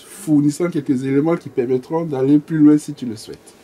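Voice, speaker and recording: A man presenting to a group as facilitator of a networking meeting, measured and repeating phrases for emphasis. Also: on the high side, dark tone. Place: meeting room in a bookshop. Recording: distant mic, faint room noise.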